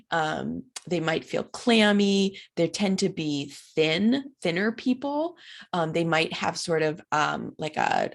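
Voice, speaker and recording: Raspy-voiced woman lecturing over slides. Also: fairly low pitch. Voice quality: raspy, dry throat. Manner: conversational, many fillers. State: recovering from illness. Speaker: instructor.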